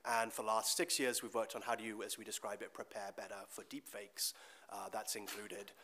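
A middle-aged man speaks earnestly and articulately on a conference panel, his voice sitting a little low. He talks into a table microphone in a conference hall, building long thoughtful sentences. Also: little pitch movement, brisk, sharp tone, a little hiss or hum. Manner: articulate, earnest, speeding up at times.

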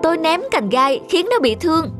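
Small cartoon mother bird: high, worried, earnest and imploring, over music. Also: lively intonation, brisk. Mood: earnest, defensive. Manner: pleading, earnest. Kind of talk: cartoon animal fable acting.

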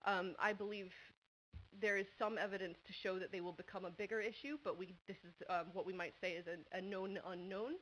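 A woman in her thirties from New England, with a New England American accent, giving a quick, chatty conference talk full of ums at a panel desk mic. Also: medium pitch, fairly even intonation, fairly bright tone, a little hiss or hum, rough recording. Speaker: conference speaker. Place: large university lecture hall. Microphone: table microphone at a panel desk.

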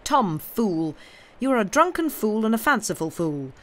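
A woman voicing a pompous gentleman who brusquely scolds his servant. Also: mid-range voice, big pitch swings, voice pushed up, natural voice. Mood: scolding. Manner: brisk, scolding, indulgent.

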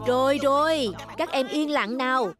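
A woman's voice: sits high, normal speed, over music, lightly acted. A woman playing a kindly, cheerful schoolteacher in a cartoon dub, addressing children in an encouraging, instructive way.

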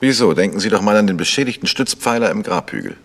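A probing radio detective, low voice that moves around a lot, composed, matter of fact. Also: male voice, lively intonation, fast talker, a bit bright. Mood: insistent. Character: Paul Temple, male amateur detective, probing, composed. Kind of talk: German radio crime drama acting. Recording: long reverberant hall.

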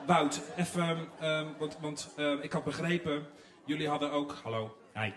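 A man's voice: fairly high pitch, level tone, measured pace, fairly bright tone, a little hiss or hum. A man, presenting playfully and teasingly on stage as a show host. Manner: teasing, joking. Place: large theatre stage with choir. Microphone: handheld microphone. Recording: echoey stage, distant from mic.

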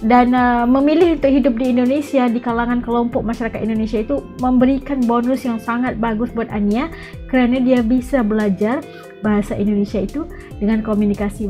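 A middle-aged Malaysian-accented woman with a fairly high voice gives casual reaction commentary in a small home studio. Music plays behind her as she talks to the camera. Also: darkish tone. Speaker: reaction video host.

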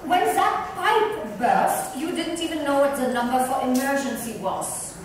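A woman's voice: sits high, lively intonation, a little slow, crowd noise. A woman performing a theatrical reading of a story and its dialogue. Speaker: reader at a dramatic book reading. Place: club lounge, indoors. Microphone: distant microphone. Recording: very echoey room, distant mic, faint noise.

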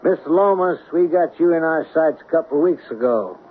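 An actor playing a cool, authoritative FBI agent in a 1970s radio drama. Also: male voice, on the high side, lively intonation, brisk, phone-quality sound, close to an everyday voice. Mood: cool authority. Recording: thin, noisy 1970s radio broadcast.

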